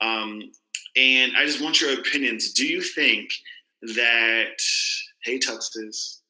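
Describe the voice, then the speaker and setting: A man's voice: medium pitch, slow, pushed and bright, reverberant, low-quality recording. A man co-hosting a podcast, chatting casually with many fillers and restarts. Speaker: podcast co-host, teacher. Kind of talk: casual chat.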